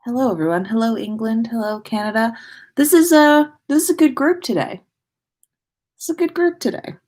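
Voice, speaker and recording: A woman's voice: a little high, normal speed, darkish tone. An American woman hosting a casual livestream from her room, rambling informally and playfully, sounding tired. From United States. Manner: informal, rambling, playful with sing-song asides. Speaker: podcast host livestreaming. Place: own room at home.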